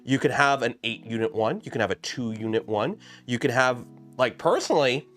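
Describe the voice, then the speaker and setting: Lively rambling man on a livestream. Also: mid-range voice, fairly quick, soft background music. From Canada. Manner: rambling, with many fillers and restarts. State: losing his voice. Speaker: livestream host building a 3D printer kit. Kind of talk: casual livestream chat.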